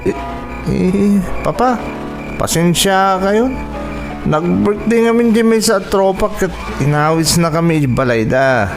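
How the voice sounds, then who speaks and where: Apologetic young Ilocano son, highish. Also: male voice, animated, slow pace, full character voice. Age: young adult. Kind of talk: Ilocano radio drama acting. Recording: radio drama with music and insects.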